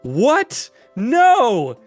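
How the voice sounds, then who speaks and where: A man talking casually as a podcast guest, telling stories in an animated, self-deprecating way with exasperated asides. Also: very high voice, drawn-out words, faint music behind. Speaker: podcast guest, illustrator and programmer.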